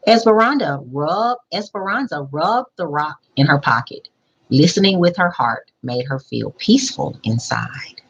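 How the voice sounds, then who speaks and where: A woman reading a children's picture book aloud as a school principal, pausing to explain and put questions to young listeners. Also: low voice, expressive, slightly rough, highs cut off.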